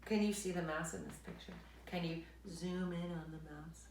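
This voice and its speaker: A woman storyteller reading aloud expressively to children, with dramatic emphasis, drawn-out words and hushed asides to her listeners. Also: lowish voice, steady tone, a little hiss or hum. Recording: echoey room.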